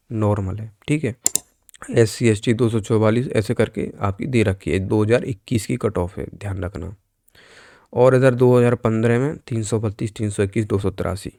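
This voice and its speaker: A man with a mid-pitched voice gives an informal explainer, talking straight to his viewers. He is instructive and tends to repeat key points, and the sound is dry with no room around it. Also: darkish tone, no room sound. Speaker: exam news presenter. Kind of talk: informal explainer commentary over on-screen figures.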